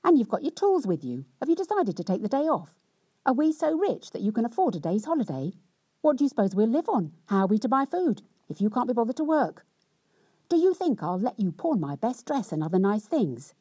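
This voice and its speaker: A woman playing a shrill scolding young wife. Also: big pitch swings, moderate pace, slightly rough, no room sound, studio quality. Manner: loud, indignant and sweary tirade.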